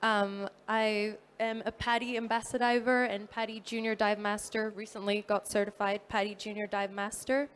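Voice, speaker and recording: A woman's voice: medium pitch, flat delivery, moderate pace, few pauses, clean tone. Earnest teenage girl giving a talk. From New Caledonia. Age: teenager, fifteen. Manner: earnest, with fillers and self-corrections. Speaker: youth speaker at a dive expo. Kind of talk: prepared conference talk.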